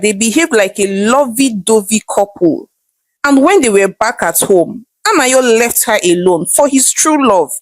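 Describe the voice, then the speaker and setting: A Nigerian woman narrates a tale as its host. Her voice is fairly high and very animated, in a dramatic storytelling style, recorded dry and close. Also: no echo.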